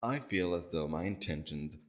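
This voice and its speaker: A man acts out a villain leader with an extremely low voice. He is unfailingly polite and formal, choosing his words in a measured way. A calculating, softly condescending edge sits under the courtesy, as in an intimate audio drama addressed to one listener. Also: animated. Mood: amused, intrigued.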